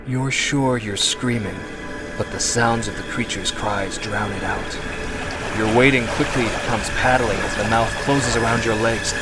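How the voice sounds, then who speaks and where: A man narrating a second-person horror story in a dramatic, suspenseful and hushed way, with drawn-out emphasis. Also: fairly low pitch, very noisy. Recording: music behind the voice. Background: music.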